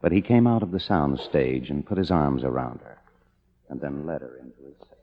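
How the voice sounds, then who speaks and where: An actor playing a wry, world-weary young screenwriter in an old noir radio drama. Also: male voice, extremely low pitch, moderate pace. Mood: wry, narrating. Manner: wry, sardonic. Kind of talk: 1950s radio drama acting with noir narration. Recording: muffled, noisy old radio recording.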